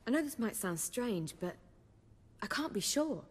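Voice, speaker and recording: An actress playing a poised young bard in a video game, speaking articulately with wry, theatrical phrasing. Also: female voice, fairly high pitch, big pitch swings, a little slow, slightly rough, full character voice. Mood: pensive. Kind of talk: video game cutscene dialogue. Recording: long hall-like reverb.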